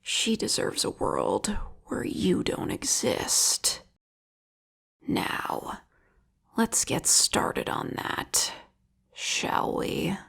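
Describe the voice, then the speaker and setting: A woman playing an obsessive, lovesick female vigilante killer in an intimate audio roleplay, with a whispery, gravelly voice and a slow, taunting manner. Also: mid-range voice, wildly swooping pitch, fairly bright tone, heavily acted. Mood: bitter, vengeful.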